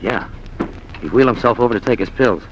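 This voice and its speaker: An actor playing a terse, insistent, scheming nephew in a 1940s radio drama, on a vintage recording. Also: male voice, fairly low pitch, animated, fast, muffled recording, slight background noise, close to an everyday voice. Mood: cold, resolute. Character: cold, resolute scheming nephew, impatient with doubt.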